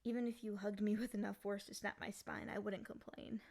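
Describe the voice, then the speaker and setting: A lonely demon girl acted by a woman speaks in an intimate ASMR monologue. Her medium-pitched voice has a strong crackly fry and often sinks to a breathy whisper. She sounds tender and possessive, utterly fixated on the one she loves. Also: level tone, a little fast. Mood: tender, yearning. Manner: soft, doting, often dropping into breathy whispers. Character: lonely, obsessively devoted female yandere demon, once sealed.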